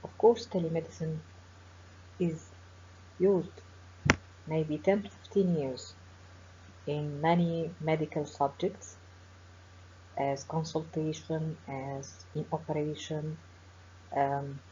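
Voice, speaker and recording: Low-voiced Egyptian woman lecturing. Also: fairly even intonation, mellow. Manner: formal, measured, with frequent pauses and fillers. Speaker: professor giving a webinar lecture. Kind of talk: academic webinar lecture. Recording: dull lo-fi webinar audio with faint hiss.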